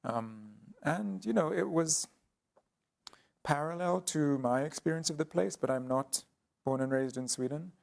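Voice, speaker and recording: A man speaking as an artist guest in an interview, explaining his work thoughtfully with frequent pauses and restarts. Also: on the high side, vocal fry. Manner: hesitant, many ums and restarts.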